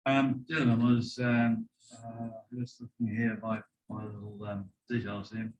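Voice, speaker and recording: An Australian man asking an aviation guest technical questions, soft-spoken and hesitant with many "uh" and "um". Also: medium pitch, little pitch movement, unhurried, muffled recording, soft background music. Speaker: audience questioner.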